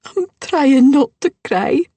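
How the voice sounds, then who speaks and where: A woman doing a high, anxious young bride. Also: big pitch swings, measured pace, gravelly, husky, no room sound, recorded from a distance, full character voice. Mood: earnest. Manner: earnest and emotional.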